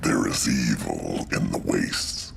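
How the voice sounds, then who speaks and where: An actor playing a huge, solemn alien beast warrior in a video game, with a guttural growl and slow, archaic speech. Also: male voice, very deep voice, creaky, noisy background, heavily acted. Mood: grim, solemn. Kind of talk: video game cutscene acting.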